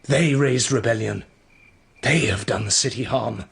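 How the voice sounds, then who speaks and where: A man's voice: medium pitch, a little slow, gravelly, a bit bright, slightly rough, exaggerated voice. An actor playing a stern, suspicious, authoritarian king in an audio drama, speaking in a clipped, commanding way. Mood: scornful resolve.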